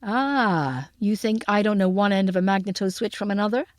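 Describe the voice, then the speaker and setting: A woman's voice: mid-range voice, normal speed, no room sound, studio quality, lightly acted. Woman acting easygoing American countryman, polite and amused.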